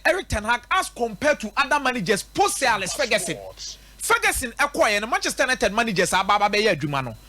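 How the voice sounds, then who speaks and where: The high, raspy Ghanaian man you would hear on a sports radio show, emphatically breaking down a football club's form, his voice leaping up and down. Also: pushed and bright. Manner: emphatic, rhetorical, repeats points for effect. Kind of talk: live football commentary and analysis, mixing local language and English.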